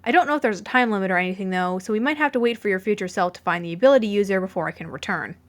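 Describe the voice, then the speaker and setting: Woman reading as a composed, deadpan mafioso man. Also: mid-range voice, racing, lightly acted. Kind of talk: fan fiction audiobook character voice.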